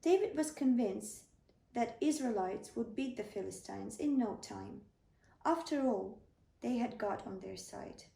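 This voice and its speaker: Woman narrator for children's bedtime tales: fairly high, unhurried, soft-toned, recorded in a roomy space. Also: expressive, creaky. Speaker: storyteller. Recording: echoey room, slightly distant microphone.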